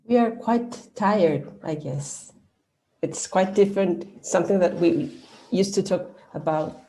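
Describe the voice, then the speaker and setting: A woman, an actress and playwright speaking slowly and apologetically as a guest on a video call panel. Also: lowish voice, warm tone, slight background noise, low-quality recording. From Chile. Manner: slow, apologetic about her English. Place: home room.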